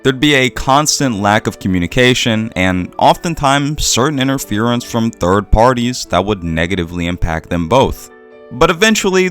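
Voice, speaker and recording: A man's voice: fairly low pitch, a bit bright. A man hosting and narrating a scripted video essay.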